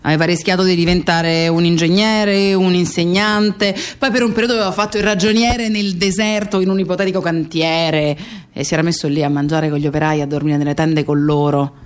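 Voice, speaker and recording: An actress playing a grieving woman who fondly recalls her close friend in a reflective radio theatre monologue, pausing and repeating herself. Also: lowish voice, fairly even intonation, smooth, close to an everyday voice. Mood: fond, nostalgic. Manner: reflective, with pauses and repetitions.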